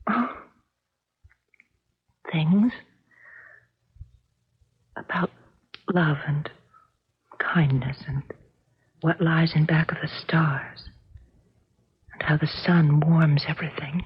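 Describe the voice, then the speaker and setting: An actress playing a wistful, apprehensive woman falling for a stranger in a 1970s radio drama, speaking in a hesitant, dreamy, breathy way. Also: deep voice, exaggerated voice. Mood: dreamy, longing. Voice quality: breathy, husky. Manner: hesitant, dreamy, halting repetitions. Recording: dull, lo-fi 1970s radio recording.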